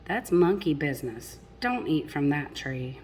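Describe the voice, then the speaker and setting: Woman doing storytime for little kids, really unhurried and chatty, slightly low voice. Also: sing-song, a little mellow, a little hiss or hum. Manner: addresses young listeners with questions and explanations. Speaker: storybook reader. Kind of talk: expressive children's picture book read-aloud with chatty asides.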